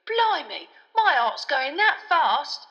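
Woman voicing a bright, gossipy young bus conductor. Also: fairly high pitch, lively intonation, normal speed, in a large hall, lo-fi sound, pitched above the performer's own voice. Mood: startled. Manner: colloquial, dramatic gossip with tag questions. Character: chatty young male bus conductor, gossipy and easily spooked. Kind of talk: audiobook character voice.

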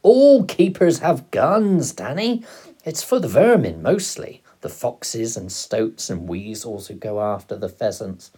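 A man's voice: lowish voice, level tone, unhurried, hardly any pauses, dark tone, breathy edge. A man voicing a roguish, gleeful father who tells stories with dramatic relish in an audiobook. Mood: mischievous, emphatic. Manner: exclamatory, relishing, dramatic delivery. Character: roguish, gleeful father, fond storyteller of poaching.

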